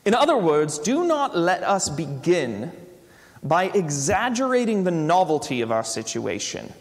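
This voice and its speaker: A man in a church doing a dramatic reading as an eloquent, stoical British man of letters, with a put-on British accent and emphatic, measured delivery. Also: fairly high pitch, big pitch swings. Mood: emphatic, reasoning. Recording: reverberant church hall.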